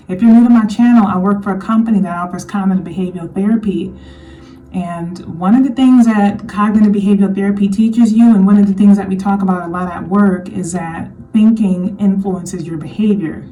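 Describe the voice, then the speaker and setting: A woman giving an earnest commentary monologue on her channel, slightly hoarse, often repeating her points to drive them home. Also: medium pitch, dark tone, distant mic, low-quality recording. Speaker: vlogger.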